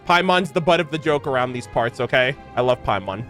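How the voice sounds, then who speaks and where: A man reacting to a video game in a casual, slangy, joking and expressive way, as a streamer. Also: medium pitch, brisk, faint music behind.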